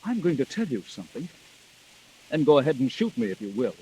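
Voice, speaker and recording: An earnest, troubled husband in a 1940s radio mystery as a man acts him. His pitch swings widely in dramatic, emphatic phrases, with a faint hiss over the old recording. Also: big pitch swings, fairly quick, dark tone, lightly acted, poor audio. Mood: angry, scornful.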